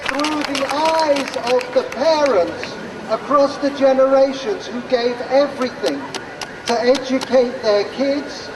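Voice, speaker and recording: High-pitched rally speaker, slow oratory, distant reverberant PA. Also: crowd noise, noisy background. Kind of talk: public speech. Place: large outdoor square. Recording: distant PA speech with hall-like reverb.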